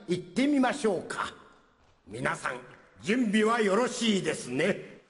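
An actor playing a genial, dignified elderly judge with a ceremonious, polite manner in a staged courtroom skit. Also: male voice, a little high. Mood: genial, rousing. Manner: courtly, ceremonious, polite old-man phrasing. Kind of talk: live stage skit acting, formal announcing. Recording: echoey concert hall, long reverb.

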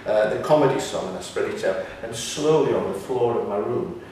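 An older man chatting casually in an interview, halting and repeating words as he tells anecdotes. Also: medium pitch, lively intonation, a little mellow. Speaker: pantomime writer and actor. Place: small indoor room, seated on sofa. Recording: echoey room, distant mic, faint noise.

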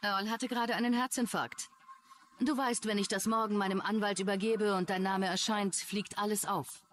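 A woman's voice: medium pitch, steady tone, normal speed, fairly bright tone, natural voice. A woman dubbing a wife who confronts her sister on a taped conversation. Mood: accusing.

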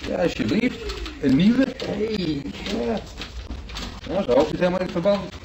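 An actor playing a cheeky, teasing hospital patient who banters in breezy slang in a radio play. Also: male voice, high voice, expressive, measured pace, background noise. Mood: breezy, chatty.

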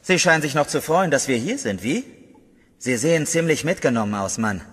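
An actor playing a stern, condescending narcotics captain in a German radio play. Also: male voice, medium pitch, expressive, brisk, a bit bright, slightly rough, in a large hall. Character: stern older male narcotics captain, mocking.

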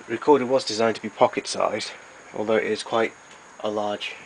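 A man with a fairly low voice narrates an informal product demonstration. He explains things plainly and a little haltingly, like a hobbyist collector. The amateur recording has noticeable noise behind him. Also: fairly even intonation, poor audio. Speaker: collector presenting his tape recorders.

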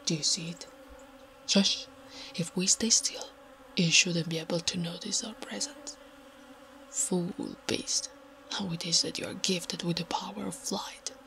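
A woman voicing a big, gentle, naive orc warrior woman in a soft, breathy whisper, with halting, stilted speech, for an ASMR roleplay. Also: fairly low pitch, a little hiss or hum. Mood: wary, protective. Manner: halting, literal, third-person stilted speech.

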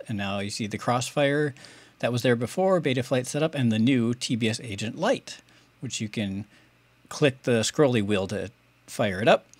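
A man's voice: mid-range voice, no echo. Middle-aged American man, dry close sound. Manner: conversational, explanatory. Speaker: video tutorial host. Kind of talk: casual step-by-step tutorial.

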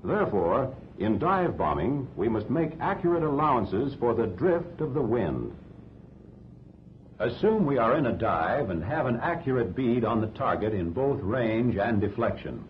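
American guy narrating an old 1940s training film, teacherly, pitch moves around a lot, muffled audio w/ some rumble. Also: very animated. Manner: measured, didactic. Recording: dull 1940s film soundtrack, rumbling noise.

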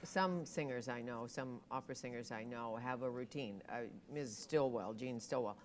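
A middle-aged woman with a very low voice who hosts a TV interview show. She is chatty and often leads with her questions. She is on a lapel mic in a TV studio, with a faint hiss underneath. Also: moderate pace. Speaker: TV talk show host.

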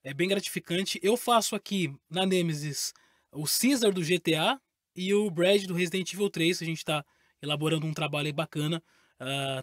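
A man's voice: a little high, fairly bright tone. A man in his thirties with a Brazilian accent speaks in a somewhat raised voice, friendly with hesitant fillers. He is close on a studio microphone in a booth, the sound dry and free of room echo. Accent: Brazilian Portuguese. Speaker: actor and voice actor. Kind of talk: casual promo to camera. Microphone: studio mic with pop filter, headphones.

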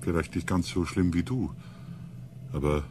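Man playing a deep-voiced, intense expedition researcher. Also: moderate pace, noisy background, natural voice. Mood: uneasy, grave. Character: intense male science expedition member, insistent. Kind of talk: radio drama acting.

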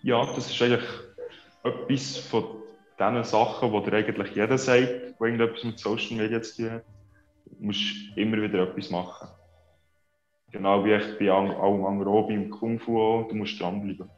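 A man's voice: on the low side, level tone, moderate pace. Swiss German man, echoey dull sound. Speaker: interview guest, social media creator. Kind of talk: casual interview chat. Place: small room indoors on a video call. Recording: echoey, dull video-call sound, music behind.

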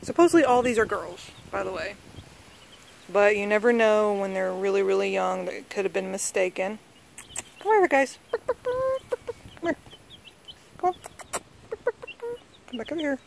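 A woman's voice: mid-range voice, animated, normal speed, creaky, pauses often, a little hiss or hum. A woman talking casually to her phone camera outdoors in a backyard, coaxing her pets in a sing-song voice. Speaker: vlogger. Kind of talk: casual chat. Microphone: handheld phone camera.

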